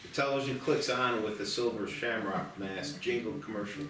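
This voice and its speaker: Male screenplay narrator, low voice, recorded far off in a very echoey room. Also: moderate pace, a bit bright, slight background noise. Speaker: screenplay narrator at a table read. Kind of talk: scripted narration, reading stage directions. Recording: distant echoey room, clear music behind.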